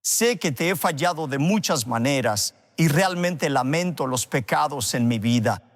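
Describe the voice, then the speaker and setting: A man delivering a sermon and leading prayer as a preacher, speaking earnestly in deliberate phrases. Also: a little high, animated. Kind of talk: sermon with an altar call and prayer. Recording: long hall reverb.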